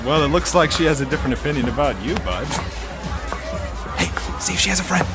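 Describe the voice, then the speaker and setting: A man's voice: on the high side, sing-song, quick pace. An actor playing a brash, girl-chasing young college student in an audio drama, slangy, casual and eager. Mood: eager, joking. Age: young adult. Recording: music behind the voice.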